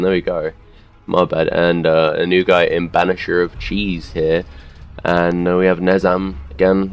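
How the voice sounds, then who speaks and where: Young guy casting a game, deep and kinda monotone, hoarse-ish, lots of ums, faint music behind. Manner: rambling, unsure, self-correcting, filler-heavy. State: voice a bit worn, hoarse. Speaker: host and commentator of a custom game series. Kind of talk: casual game commentary.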